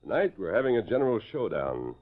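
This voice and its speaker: A man's voice: fairly low pitch, huge pitch swings, fast talker. A man playing a stern, resolute masked hero, commanding and declamatory, in a 1940s western radio drama. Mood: stern, accusing. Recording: tinny, noisy 1940s radio recording.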